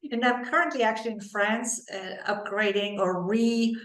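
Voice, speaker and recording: A woman's voice: on the high side, steady tone, moderate pace, a bit bright. German-accented woman, echoey room. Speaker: podcast guest, leadership coach. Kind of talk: casual interview conversation.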